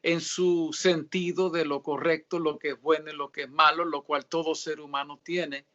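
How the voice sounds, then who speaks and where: A man with a bright, sharp voice answers questions in a casual religious interview. He speaks haltingly and pads his thoughts with filler sounds and pauses. The audio is dull and lo-fi. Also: on the high side, flat delivery. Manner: reads scripture aloud in a steadier tone. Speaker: guest author answering questions.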